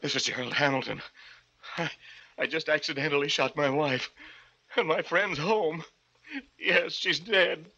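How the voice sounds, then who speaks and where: An actor playing an anxious, wronged husband in an old-time radio drama, with an urgent, bewildered and pleading manner. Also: male voice, fairly high pitch, unhurried, vocal fry, long pauses, sharp tone, breathy edge, heavily acted. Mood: shaken resolve. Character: anxious husband, framed friend, agitated and desperate. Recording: muffled, band-limited old radio recording.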